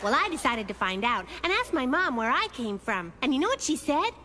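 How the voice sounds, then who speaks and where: A woman voicing a quick-witted little girl in a children's cartoon, talking in a plain, matter-of-fact way. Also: high-pitched, big pitch swings, sharp tone, slight background noise, full character voice. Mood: chatty, musing.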